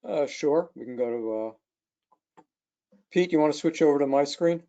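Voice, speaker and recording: A man's voice: on the high side, a little slow, warm tone. A man presenting as an engineer in a webinar, talking through technical details unscripted over an online call. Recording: dull, lo-fi webcast audio.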